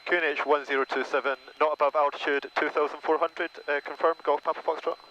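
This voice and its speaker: A man's voice: a little high, flat delivery, quick pace, pushed and bright. A man piloting a light aircraft, making clipped, formulaic radio calls and reading back instructions over an aviation headset. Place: small propeller aircraft cockpit. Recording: dull, noisy cockpit intercom. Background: engine drone.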